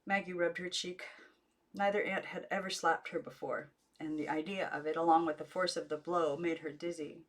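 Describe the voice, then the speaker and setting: A woman's voice: fairly low pitch, flat delivery, moderate pace. A woman narrating a children's novel as an amateur audiobook reader.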